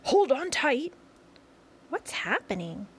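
A woman voicing an excited old green grasshopper who shouts out in a storybook. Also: mid-range voice, measured pace, noisy background.

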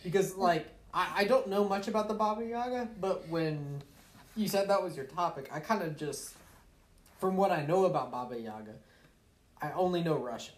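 A man's voice: high-pitched, moderate pace, echoey room. A man co-hosting a podcast, bantering casually in a joking, sweary manner. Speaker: podcast co-host.

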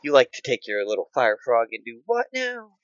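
A man's voice: mid-range voice, wildly swooping pitch, moderate pace, no echo, lo-fi sound, voice pushed down. A man voicing a skeptical man asking a wry question.

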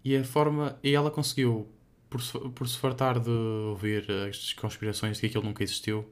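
Young adult male podcast co-host, European Portuguese accent, mid-pitched, loose and conversational, feeling his way through sentences with fillers. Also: level tone, brisk. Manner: hesitant, rambling, filler-heavy.

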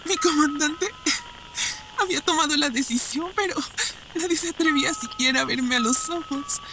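High-voiced, haunted woman played by an actress. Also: over music, poor audio. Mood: grieving, numb. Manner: halting, emotional, dramatic. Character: haunted female war survivor, grieving mother. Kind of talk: Spanish-language radio drama monologue.

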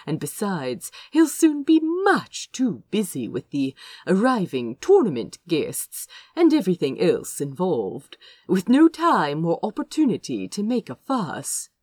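A woman's voice: fairly low pitch, wildly swooping pitch, unhurried, a little mellow, dry recording, studio quality, heavily acted. A woman voicing a fussy, well-mannered prince who talks in a prim, nervous, wordy rush, as in an audiobook. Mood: excited, smug.

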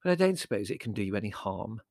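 A man voicing a weary, sensible middle-aged mother with a hesitant, gently exasperated manner. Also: on the low side, very animated, quick pace, dry recording, studio quality. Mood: weary, undecided.

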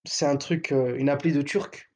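A man's voice: on the high side, steady tone, moderate pace, darkish tone. A young man hosting his own podcast in a casual, provocative monologue, joking around in crude slang with lots of fillers and hesitations. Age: young adult. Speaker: podcast host.

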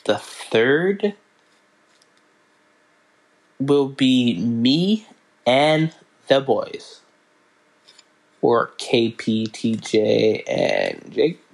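A young man talks slowly and casually as the host of his own podcast. He often restarts his sentences and stumbles over his words. His voice sits at an ordinary pitch for a man. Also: expressive, low-quality recording. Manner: halting, restarts and fillers, slow unhurried delivery. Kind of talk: casual solo podcast announcements.

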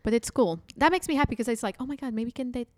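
A woman's voice: a little high, fast, dry recording. A woman from Portugal with a Portuguese accent, a comedian guest chatting animatedly on a podcast. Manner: animated, chatty, with frequent restarts. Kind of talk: casual chat.

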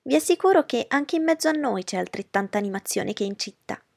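A woman voicing a fussy, boastful middle-aged mother who speaks in a high, effusive way, with a gravelly, husky voice. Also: expressive, brisk, darkish tone, no echo, studio quality, exaggerated voice. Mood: gushing. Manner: shrill and effusive.

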